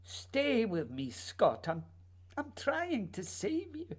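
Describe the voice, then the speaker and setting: Husky, slow, dreamy writer, acted by a woman. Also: fairly high pitch, wildly swooping pitch, full character voice. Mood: coaxing. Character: dreamy, evasive male writer, earnest myth-maker. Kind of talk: radio play acting.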